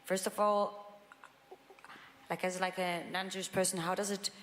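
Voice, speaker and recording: A woman moderating a panel in a large conference hall, speaking into a handheld mic. She talks hesitantly, filling pauses and restarting sentences. A long hall reverb and faint room ambience sit behind her. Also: mid-range voice, little pitch movement, fairly bright tone, slight background noise. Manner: hesitant, many fillers and restarts.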